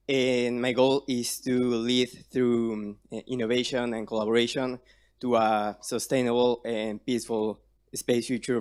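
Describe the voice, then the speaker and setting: A young man from Mexico, giving an earnest, slightly formal self-introduction at a ceremony. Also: mid-range voice. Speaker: incoming student.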